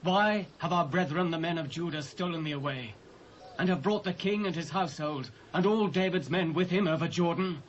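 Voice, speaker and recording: High-voiced indignant British tribesman played by an actor. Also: moderate pace, lightly acted. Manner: accusing, declamatory. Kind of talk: dramatised scripture acting. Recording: muffled, faintly noisy cassette.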